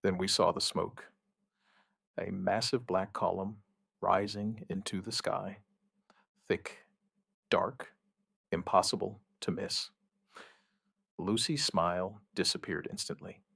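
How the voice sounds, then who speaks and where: A man acting weary male survivor, deep creaky voice, clipped understated phrasing, dry humour, audio drama witness account. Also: fairly even intonation, takes it slowly, pauses often, a bit bright, dry recording, full character voice. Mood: sombre, watchful. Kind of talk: scripted first-person audio drama narration.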